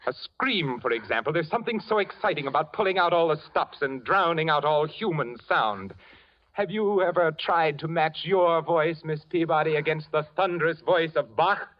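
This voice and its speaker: An actor playing a suave, menacing man who plans a murder, in 1940s radio drama style with a measured, silky delivery. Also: a little high, lively intonation, lightly acted. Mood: gloating. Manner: silky, measured, quietly sinister. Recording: dull old 1940s radio recording.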